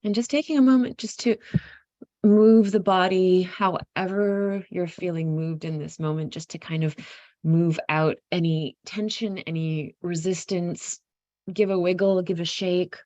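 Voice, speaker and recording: A woman leading a guided meditation, giving gentle instructions in an unhurried, softly inviting way with pauses mid-phrase. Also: on the low side, fairly even intonation, no echo, muffled recording.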